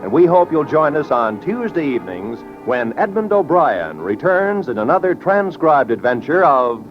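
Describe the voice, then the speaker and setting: A man's voice: on the high side, big pitch swings, normal speed. An American man reading scripted credits and promos as a mid-century network radio announcer. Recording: dull vintage radio broadcast with music.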